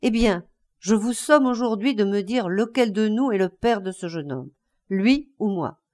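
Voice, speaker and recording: A woman's voice: on the high side, sing-song, normal speed, voice pushed up. A woman voicing a bitter, enraged older man, a betrayed husband in a story, breathless and insistent in his outbursts. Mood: tormented, demanding.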